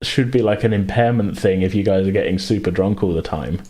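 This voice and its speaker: Low-pitched man, hesitant game master. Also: brisk, dark tone. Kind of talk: casual tabletop game refereeing.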